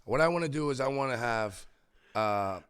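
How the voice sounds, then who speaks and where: A middle-aged man chats as an interview guest, his voice sitting at a plain middle pitch. He talks in quick, animated bursts, often repeating himself with a loose street-style flow. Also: clear, resonant tone. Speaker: interview guest, rapper and father. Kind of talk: casual interview chat.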